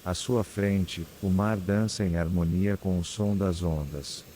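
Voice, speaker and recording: A man with a Brazilian accent guides a scripted meditation in a calm, soothing, unhurried manner with long pauses. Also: low-pitched, a little hiss or hum. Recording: clear background ambience of ocean waves. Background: sea waves ambience.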